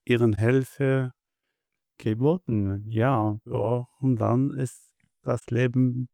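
A young man from Syria, answering interview questions in a halting way and pausing often to find his words. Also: mid-range voice, fairly even intonation, vocal fry, dark tone, dry recording. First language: Arabic. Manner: halting, searching for words with many pauses. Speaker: interview guest, bank customer adviser.

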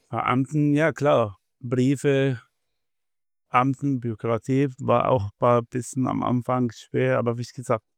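Man, gravelly, halting, searching for words, dry close sound. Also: medium pitch, no room sound. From Syria. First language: Arabic. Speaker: interview guest, bank customer adviser. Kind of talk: casual interview answers.

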